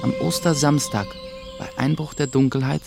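An earnest young apprentice in sorcery, narrates in the first person for a radio drama. His voice is measured and mid-pitched, with music clearly playing behind it. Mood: narrating, measured.

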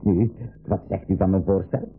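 A man's voice: deep voice, fairly even intonation, normal speed, slight background noise, natural voice. A man playing an older, stubborn professor and uncle in a 1980s school radio play, speaking emphatically with hesitant repetitions. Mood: firm bargaining. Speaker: radio drama actor. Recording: narrow phone-like old radio recording.

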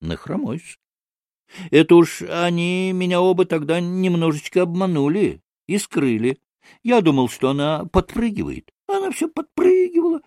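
Guy doing silly old gentleman from a novel, high wheedly voice, servile, giggly, swoopy. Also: very animated, dry recording, studio quality. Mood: chatty, sheepish. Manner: obsequious, drawn-out phrases, servile tags, giggling. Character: wheedling, giggly old provincial gentleman buffoon telling tales.

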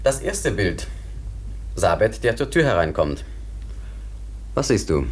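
An actor playing a philosophical, thoughtful companion in a German radio drama, explaining things in a deliberate, contemplative way. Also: male voice, fairly low pitch, very animated, exaggerated voice. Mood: matter-of-fact, probing. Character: philosophical man pondering another world, thoughtful companion.

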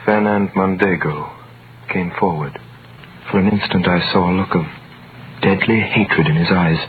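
A man's voice: sits low, fairly even intonation, moderate pace, narrow, tinny audio, natural voice. A man playing an earnest young sailor in solemn, theatrical 1930s radio drama style. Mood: intense, brooding. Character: earnest young sailor, nineteen, recounting his own story. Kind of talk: 1930s radio acting, dramatic first-person narration. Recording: thin noisy vintage radio recording.

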